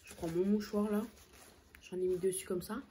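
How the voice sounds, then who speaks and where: Chatty young woman with a mellow voice. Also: mid-range voice, fairly even intonation, normal speed, slight background noise. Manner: chatty, hesitant fillers, eating between sentences. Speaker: food vlogger. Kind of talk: casual storytime while eating. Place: small indoor room, seated close to camera.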